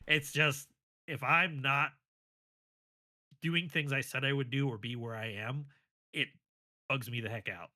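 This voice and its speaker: A man's voice: medium pitch, fairly even intonation, a little slow, long pauses, sharp tone. A man co-hosting a casual podcast, curious and asking questions, laughing into his sentences. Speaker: podcast co-host.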